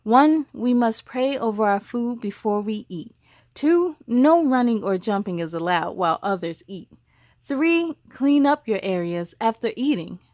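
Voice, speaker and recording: A woman reading a picture book aloud as a story time host, expressive, with chatty asides to young listeners. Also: sits high, no room sound, clean studio sound.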